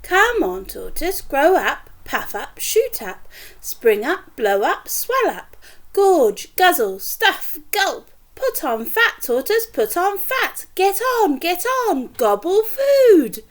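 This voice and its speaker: A woman's voice: very high voice, sing-song, slow pace, pitched above the performer's own voice, exaggerated voice. A woman voicing an eager, confident older man in a children's storybook, explaining things in an emphatic, instructive and theatrical way. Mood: urgent, chanting.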